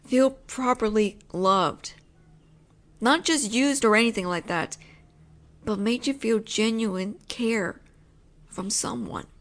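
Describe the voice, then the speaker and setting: A young woman voice actor in an intimate audio roleplay, playing a possessive, teasing roommate who talks low and lingers, sometimes sinking into whispers. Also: slow pace, long pauses, fairly bright tone.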